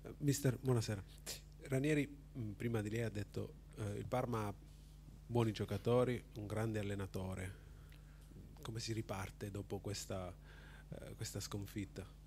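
A man's voice: lowish voice, fairly even intonation, moderate pace, vocal fry, darkish tone, slight background noise. A man, a journalist, politely asking the coach a question.

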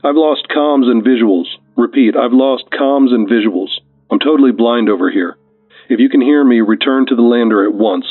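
A man's voice: medium pitch, normal speed, husky, no echo, faint music behind. A man voicing an earnest astronaut speaking over filtered radio comms in an audio story. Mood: urgent, worried. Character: earnest astronaut on comms, left behind at lander. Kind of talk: audio story character dialogue. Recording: narrow radio comms channel.

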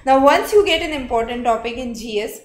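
Young Indian English woman, exam coach, higher pitch, live lecture, roomy echo. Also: lively intonation, brisk. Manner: explanatory, frequent "uh" fillers, talks with hands. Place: studio, seated before a screen backdrop.

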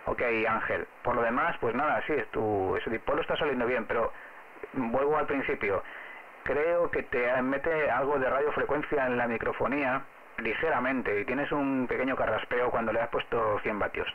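A man from Spain with a Spanish accent chats as a radio amateur, explaining patiently and spelling out callsigns. His mid-pitched voice comes through narrow and phone-like, with faint noise behind it. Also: moderate pace. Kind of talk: casual ham radio contact.

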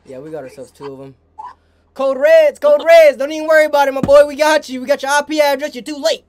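Animated young American man trolling strangers. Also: very high voice, fast. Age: young adult. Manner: mock-official police dispatcher talk, exclaiming. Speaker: streamer, prank host. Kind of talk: playful trolling of strangers on video chat.

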